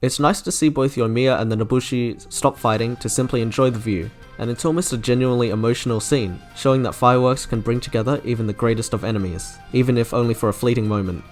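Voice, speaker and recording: A man hosts a scripted video review in a mid-pitched voice. His narration is delivered as prepared commentary, with music playing behind it. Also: quick pace, hardly any pauses.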